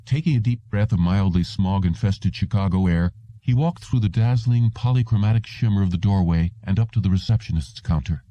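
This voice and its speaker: A man's voice: lowish voice, a little fast, dark tone, no echo, lo-fi sound. A man reading literary fiction aloud as a scripted audiobook narrator.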